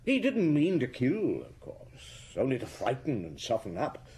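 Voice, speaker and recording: An actor playing a shrewd, methodical chief inspector in a radio drama, probing and deliberate with a husky voice. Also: male voice, medium pitch, wildly swooping pitch, darkish tone, heavily acted. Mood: methodical, pressing. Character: shrewd, methodical male police chief inspector laying out accusations. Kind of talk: BBC radio drama acting.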